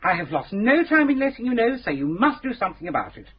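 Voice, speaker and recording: An actress playing an imperious middle-aged charity secretary, haughty and commanding, in a radio drama. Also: female voice, medium pitch, very animated, fast, husky. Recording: dull old radio broadcast.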